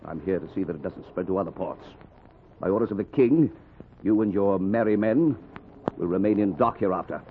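Guy doing a haughty british navy captain, real deep, threatening, old radio play thru a tinny speaker. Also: brisk, natural voice. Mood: menacing. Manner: haughty, menacing. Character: stern, threatening British naval captain, king's officer. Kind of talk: historical radio drama acting. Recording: phone-like old recording with faint noise.

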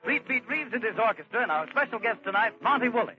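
Fast, high-pitched male radio announcer. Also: animated. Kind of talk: 1940s radio show introduction. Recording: dull old radio recording over music.

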